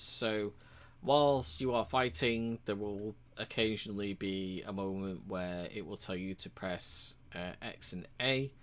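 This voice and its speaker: A man casually walking through a game's achievements, relaxed and rambling, with frequent ums and uhs. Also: fairly low pitch. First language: English. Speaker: game reviewer.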